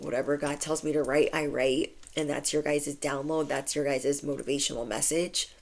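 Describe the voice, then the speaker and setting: A woman's voice: sits low, darkish tone. A woman giving a casual channeled spiritual message to her viewers, chatty and affirming, with lots of "like". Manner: chatty, affirming, says "like" often. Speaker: spiritual reader.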